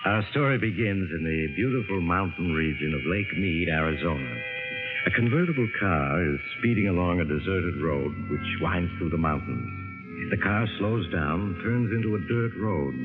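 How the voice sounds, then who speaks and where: An old-time radio mystery host, inviting and ominous in an American accent. His low voice narrates over loud music, through a thin, scratchy 1940s broadcast. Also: lively intonation, moderate pace, narrow, tinny audio. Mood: measured suspense. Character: ominous, inviting storyteller of strange tales.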